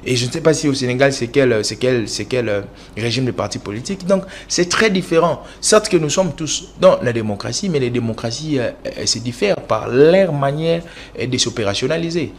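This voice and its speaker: A man from the Congo answers interview questions as a political analyst. He lectures at length, often halting on fillers and restarting his phrases. Faint background noise runs under his voice. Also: medium pitch, expressive. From Congo (DRC).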